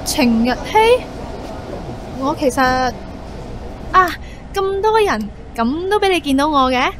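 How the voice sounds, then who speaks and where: A woman's voice: sits high, animated, slow, noisy background. An actress playing a friendly, coy young woman chatting with an old classmate in a Hong Kong Cantonese radio drama. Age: young adult. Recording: music bed underneath.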